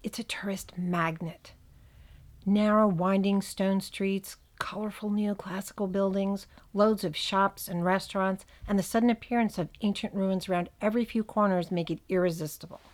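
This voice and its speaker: A woman with a New Jersey accent hosts a podcast at a close microphone. She reads a script in a voice of middle pitch and breaks it with wry, dry asides. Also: steady tone, normal speed. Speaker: podcast host.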